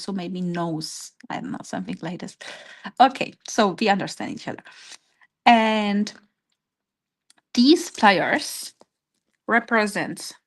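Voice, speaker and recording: A woman with a Czech accent teaches a craft workshop in an informal, step-by-step live format. Her pace is slow and her pitch sits in the middle for a woman. She phrases things hesitantly as she searches for words in English, which is not her native language.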